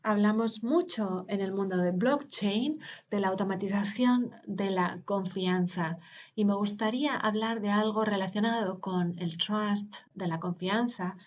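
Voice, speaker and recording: A woman, interpreting a conference talk live, hesitant with pauses and filler sounds. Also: medium pitch, highs cut off.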